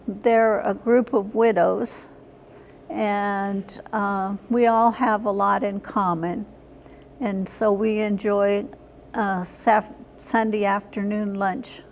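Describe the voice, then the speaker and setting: Older woman, slow and even-toned. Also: medium pitch, slight background noise. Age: older, senior. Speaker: interview guest, senior center member. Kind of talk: plain conversational answers.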